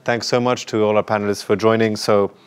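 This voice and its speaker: A man moderating a conference panel, speaking haltingly with many fillers and restarts. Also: on the low side, flat delivery, clear, resonant tone. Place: conference stage.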